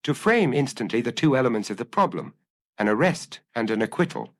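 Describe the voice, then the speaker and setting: A man voicing a proud, self-satisfied gentleman thief in an audiobook, boastful and grand in his telling. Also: mid-range voice, very animated, a little fast. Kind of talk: audiobook character acting.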